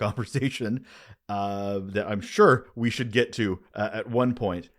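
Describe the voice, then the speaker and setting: Animated male podcast host. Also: medium pitch. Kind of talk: scripted podcast monologue, conversational.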